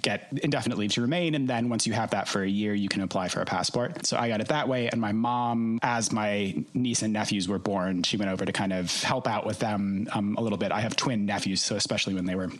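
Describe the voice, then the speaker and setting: A man in his thirties from Minnesota talks easily as a podcast guest. His voice sits fairly low, and his manner is friendly and chatty, with ready laughter. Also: quick pace, few pauses. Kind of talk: casual chat.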